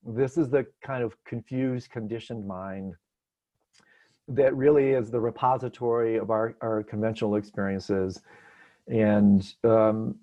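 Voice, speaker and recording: Man teaching over a muffled online call. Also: mid-range voice. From Detroit. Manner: gentle, searching, many restarts. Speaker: meditation teacher answering questions. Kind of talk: relaxed spoken teaching, unscripted. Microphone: video call.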